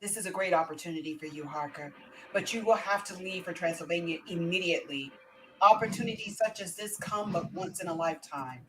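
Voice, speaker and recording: A woman's voice: on the low side, a little fast, slight background noise, close to an everyday voice, low-quality recording. A woman voicing a pompous, formal male employer, reading a script in an emphatic, theatrical way. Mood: urging. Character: brisk, pompous senior male lawyer, an employer. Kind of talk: amateur script read-through.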